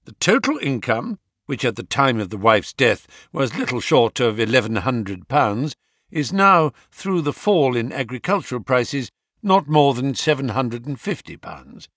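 Unruffled gentleman detective, voiced by a male reader, wry, courteous, lively intonation, bright tone, storybook reading. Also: mid-range voice, measured pace, no room sound, clean studio sound. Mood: brisk, serious. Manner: imperturbable, polite, wry. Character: cool, bland, amused male gentleman detective.

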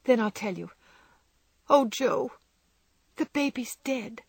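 A woman voicing a gentle, frail young girl who speaks softly, often near a whisper. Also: fairly high pitch, expressive, slow pace, gravelly, breathy edge, dry recording, pitched above the performer's own voice, heavily acted. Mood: sad.

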